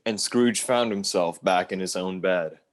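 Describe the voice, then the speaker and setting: A man's voice: sits low, measured pace. A man playing the storybook narrator of a radio play, reading scripted narration with music behind him. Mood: storytelling. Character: storybook narrator of a Christmas tale. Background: loud music.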